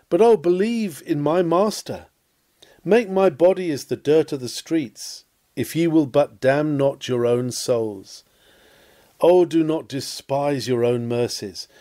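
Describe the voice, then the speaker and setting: Slow, earnest man reading a sermon. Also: mid-range voice, warm tone. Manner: earnest, exhorting, solemn. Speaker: sermon reader. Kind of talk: dramatic reading of a Victorian sermon.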